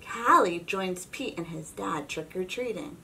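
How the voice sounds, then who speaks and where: A woman reading a children's storybook aloud in an expressive, suspenseful narrating style. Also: fairly low pitch, a little slow, recorded from a distance, slight background noise. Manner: expressive, suspenseful, with whispers and exclamations. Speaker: storybook reader.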